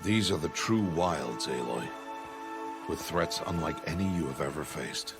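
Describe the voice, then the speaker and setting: A stern outcast hunter and mentor in a video game, with an extremely deep voice. He speaks gravely and formally in measured phrases, with music clearly playing underneath. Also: expressive, heavily acted. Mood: solemn. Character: stern, solemn older outcast hunter and foster father. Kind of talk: video game cutscene voice acting.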